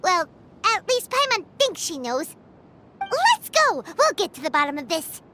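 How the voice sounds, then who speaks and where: A woman voicing a small, childlike, excitable fairy companion with a squeaky voice in a video game. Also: unhurried, noisy background, exaggerated voice. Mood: thoughtful, eager. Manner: refers to self in third person, exclamatory. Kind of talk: animated video game voice acting. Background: game music.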